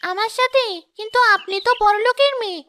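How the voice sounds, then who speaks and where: Woman doing a shy poor little girl, pleading, super high kiddy voice. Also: quick pace, bright, forceful tone, slight background noise. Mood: meek. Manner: meek, pleading. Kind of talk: cartoon voice acting.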